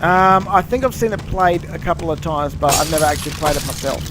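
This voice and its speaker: Australian man, casual gamer chat, rambling and swearing, slightly high voice, noisy audio. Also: fairly quick. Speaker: gamer playing on stream. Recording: noisy game capture.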